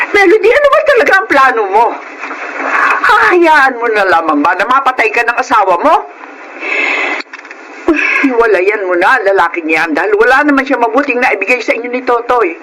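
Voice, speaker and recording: A woman's voice: on the high side, noisy background, natural voice. An actress with a Filipino accent playing a middle-aged, protective, indignant mother, scolding emphatically in a radio melodrama.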